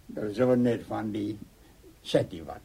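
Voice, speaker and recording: Sweet old dad, really muffled dark rasp, bit far from mic, radio play. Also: male voice, fairly low pitch, normal speed, full character voice. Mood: homely, curious. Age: older. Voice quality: husky, dull. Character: kindly old father of a grown daughter. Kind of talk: naturalistic radio drama acting.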